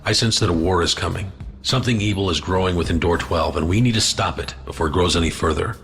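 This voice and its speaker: A man's voice: sits low, quick pace, creaky, fairly bright tone. An actor playing a stern, commanding male intelligence chief in an audio drama, firm and insistent. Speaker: door chief.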